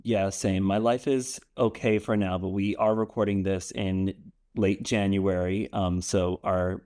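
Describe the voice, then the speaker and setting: A man hosting an interview, asking questions in a relaxed, conversational way. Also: low voice, unhurried. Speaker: interview host. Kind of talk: casual interview talk.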